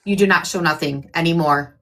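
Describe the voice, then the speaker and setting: A woman's voice: low voice, a little fast, a bit bright. A woman hosting her own livestream, talking bluntly and defiantly with plenty of swearing. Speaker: livestream host. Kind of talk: casual livestream chat.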